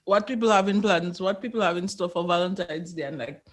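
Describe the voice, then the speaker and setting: A woman with a Caribbean English accent co-hosting a casual podcast, talking in a playful, slangy way and giving shout-outs. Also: fairly low pitch, fairly quick. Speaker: podcast co-host.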